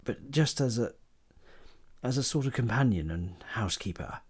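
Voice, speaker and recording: A man playing a bashful, hesitant older gentleman suitor who stammers sheepishly in an audio drama. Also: mid-range voice, lively intonation, moderate pace, breathy edge.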